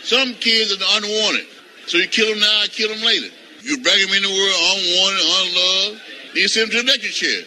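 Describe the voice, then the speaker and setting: A man's voice: sits high, a little fast, sharp tone. A man with a Southern US accent making blunt, repetitive, colloquial off-the-cuff remarks as a politician in a noisy news clip.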